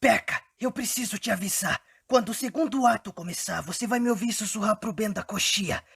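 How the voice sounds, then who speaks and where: A woman voicing a furtive young male theatre stagehand in a hushed, breathy whisper for an animated story. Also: medium pitch, brisk, dry recording, studio quality, exaggerated voice. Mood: secretive warning.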